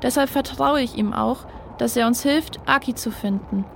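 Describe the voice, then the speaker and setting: A determined child adventurer in a children's audio drama. The voice is fairly high, earnest and decisive, with some ambient rumble behind it. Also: female voice, moderate pace, creaky. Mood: earnest, grateful. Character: determined, trusting child leading friends on a rescue. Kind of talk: German children's radio play acting.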